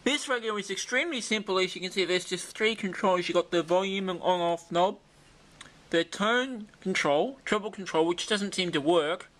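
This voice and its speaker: An Australian man with a fairly high, bright and forceful voice. He talks like a hobbyist host in a casual demonstration, informal and rambling, often thinking aloud. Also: normal speed, low-quality recording. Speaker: video host demonstrating a vintage radio.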